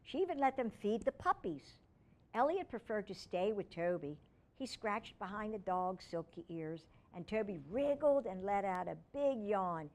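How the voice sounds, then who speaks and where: A woman's voice: mid-range voice, expressive, moderate pace, darkish tone. A woman reading aloud as a children's storyteller, with informal asides along the way.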